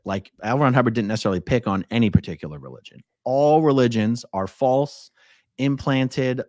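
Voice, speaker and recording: A man's voice: medium pitch, dry recording, clean studio sound. A man hosting a live stream, reading viewer messages and commenting in a casual, blunt, conversational way.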